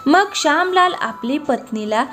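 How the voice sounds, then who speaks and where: A woman's voice: high voice, expressive, measured pace, a bit bright, soft background music. A woman narrating a scripted moral story as its storyteller.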